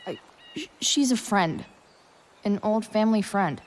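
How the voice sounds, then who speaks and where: A woman's voice: mid-range voice, lively intonation, unhurried, close to an everyday voice. An actress playing a tough, guarded teenage girl in a video game, speaking in a blunt, sullen, defiant way.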